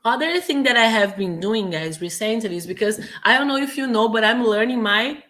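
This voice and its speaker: A woman's voice: a little high, expressive, moderate pace, fairly bright tone. A woman from Brazil with a Brazilian Portuguese accent, a native Portuguese speaker, teaching English in a chatty, casual way with many fillers and restarts. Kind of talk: casual online lesson. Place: home in Quebec.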